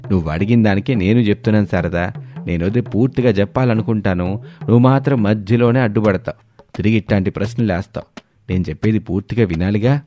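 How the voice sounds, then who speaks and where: A man's voice: lowish voice, fast talker, dark tone, slight background noise, natural voice. A man playing an exasperated, long-suffering middle-aged husband who grumbles and admonishes in a dramatised story.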